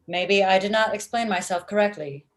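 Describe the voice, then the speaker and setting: Deadpan female office robot, played by a woman. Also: mid-range voice, normal speed, natural voice. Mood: deadpan, judgmental. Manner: deadpan, stilted formal phrasing. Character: blunt, murderous female office robot, literal-minded. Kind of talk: comedy script table read. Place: indoor room at home, video call. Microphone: video call.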